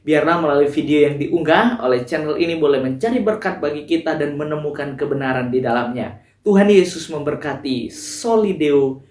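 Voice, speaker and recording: A channel host closing the video with earnest, preachy commentary. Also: male voice, on the high side, brisk, a little mellow, echoey room.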